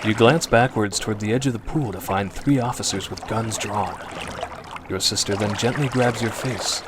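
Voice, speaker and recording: A man narrating a second-person horror story in a dramatic, suspenseful and hushed way, with drawn-out emphasis. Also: medium pitch. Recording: music behind the voice. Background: music.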